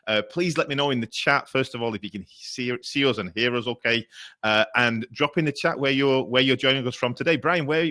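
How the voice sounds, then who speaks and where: Bright-voiced chatty webinar host man. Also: mid-range voice. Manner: chatty, many fillers and restarts. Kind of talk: hosting a webinar. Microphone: video call.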